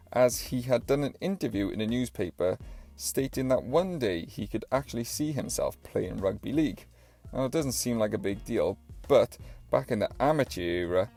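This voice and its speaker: Young Welsh male narrator, low voice, chatty asides, faint music behind. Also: darkish tone. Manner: chatty storytelling with personal asides. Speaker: documentary narrator and channel host. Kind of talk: casual documentary narration.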